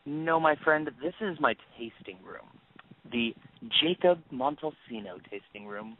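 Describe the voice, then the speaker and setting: A man playing a younger, falsely friendly schemer with a deliberate, flattering manner in an audio play. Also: on the high side. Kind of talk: audio stage-play acting. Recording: tinny narrowband phone-like line.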